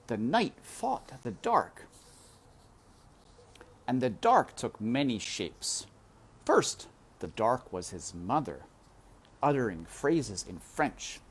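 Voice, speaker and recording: A man reading a children's story aloud in a dramatic, deliberate manner, addressing the listener directly. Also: mid-range voice, big pitch swings, slightly rough. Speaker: read-aloud narrator. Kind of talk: expressive storybook read-aloud.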